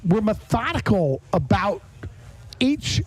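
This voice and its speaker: A man's voice: high voice, sing-song, slow, husky, no room sound. A middle-aged man hosting a casual chat, talking in an effusive, rambling way. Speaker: podcast host. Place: on location at a garage.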